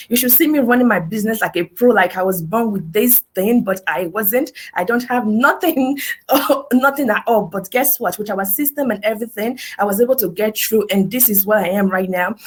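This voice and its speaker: Husky-voiced woman, earnest testimonial. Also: medium pitch. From Nigeria. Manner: earnest, peppered with "you know", "right?" and "guess what". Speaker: business testimonial speaker. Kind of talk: webinar testimonial. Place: her house in Nigeria.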